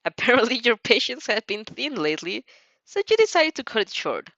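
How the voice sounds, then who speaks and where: A woman's voice: on the high side, very animated, fairly quick, a bit bright, no echo, muffled recording. A woman narrating fan fiction expressively, giggly and tripping over words, with spontaneous reactions.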